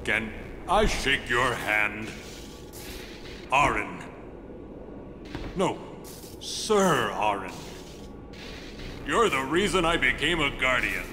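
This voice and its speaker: An actor playing a burly, earnest bodyguard in a game, speaking in a halting, reverent, emphatic way. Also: male voice, mid-range voice, sing-song, background noise. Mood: awestruck. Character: burly male bodyguard, earnest, awestruck admirer. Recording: long hall reverb, game ambience behind.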